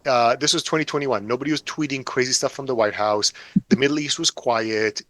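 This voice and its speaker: A man's voice: mid-range voice, brisk, a bit bright, no room sound. A man in his forties, an author being interviewed, talking casually with a wry, self-deprecating humor. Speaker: author guest.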